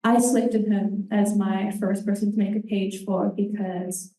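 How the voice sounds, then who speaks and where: Young New woman, earnest halting presenter, dark mellow tone, heard from afar in a roomy hall. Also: medium pitch, little pitch movement. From New Zealand. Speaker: intern presenter. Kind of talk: conference presentation. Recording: roomy hall, distant mic.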